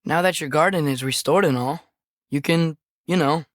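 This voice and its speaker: A man's voice: a little high, normal speed, dry recording, studio quality, lightly acted. A young man voicing an earnest, curious teenage boy adventurer in a video game, with natural, inquisitive phrasing. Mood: curious, observant. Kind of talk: video game voice acting, companion dialogue.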